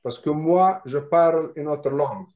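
A man whose first language is Arabic explains drafting on a video call, restarting and repeating words as he goes. His voice sits a little high. The call audio is muffled and lo-fi. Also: a little slow, a little mellow. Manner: explanatory, many repetitions and restarts. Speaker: industrial draftsman guest. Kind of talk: casual video call discussion.

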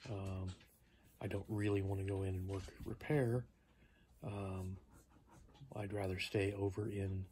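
A tired man in his fifties rambling casually to camera, halting and low-key as he thinks aloud. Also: darkish tone. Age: 50s. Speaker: vlogger. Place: small living room at home, indoors. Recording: noticeable background noise.

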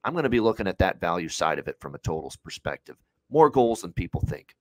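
A man's voice: deep voice, big pitch swings, fast, a little mellow, dry recording. A man in his thirties giving casual, opinionated sports betting analysis, repeating points for emphasis. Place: indoor room on a video call.

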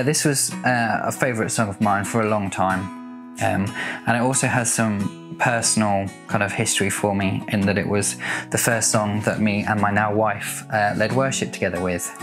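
Low-voiced man, hesitant devotional talk. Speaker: church member presenting a devotional video. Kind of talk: casual devotional talk to camera. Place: small home room with bookshelves, indoors.

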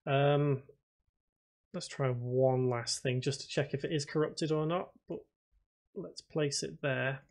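A man giving a casual software tutorial, thinking aloud step by step and talking informally to his viewers. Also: medium pitch, level tone, slow. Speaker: video tutorial presenter. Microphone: voice-over recorded at a computer.

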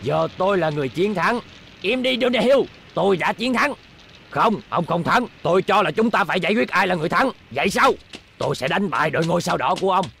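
An actor voicing hot-tempered rival men in a dubbed cartoon, in a dramatic, declamatory manner. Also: male voice, sits high, expressive, quick pace, gravelly, sharp tone, a little hiss or hum, heavily acted. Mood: angry, defiant. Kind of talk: animated cartoon dub, Vietnamese dialogue.

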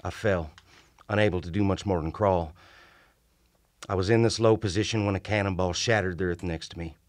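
A man performs a haunted Civil War lieutenant in an old-time radio horror drama. His low voice tells the tale gravely and deliberately, in formal journal language, with music clearly behind him. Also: no echo, clean studio sound, lightly acted. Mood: grim, intense. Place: small radio studio. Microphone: vintage-style studio microphone at close range.

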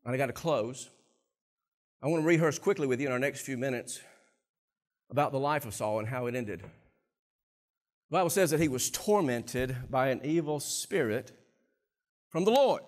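A middle-aged man preaching a sermon from a church stage on a lapel mic, animated and swinging between quiet and loud passages. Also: medium pitch, normal speed, pauses often. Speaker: pastor.

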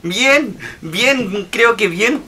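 An actor playing a meek middle-aged husband and amateur inventor in a radio drama, hesitant and apologetic. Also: male voice, high voice, animated, extremely slow, bright, forceful tone, slight background noise, exaggerated voice. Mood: timid, polite.

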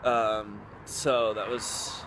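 A man's voice: lowish voice, level tone, very slow, background noise. A young American man chatting casually to camera in a slangy way, recorded on a phone held at arm's length. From Texas, USA. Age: young adult. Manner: slangy, mildly profane. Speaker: vlogger, college rugby player. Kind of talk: casual vlog chat to camera. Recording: background chatter, handheld outdoor and indoor audio.

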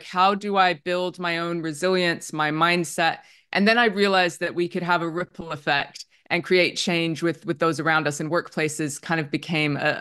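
A woman's voice: fairly low pitch, fairly bright tone, clear, resonant tone. A Dutch-born woman in her forties with a slight Canadian accent, openly telling her life story on a video call as a psychotherapist. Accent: somewhat Canadian. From Netherlands. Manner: open, reflective, with fillers like "um" and "like". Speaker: guest, psychotherapist.

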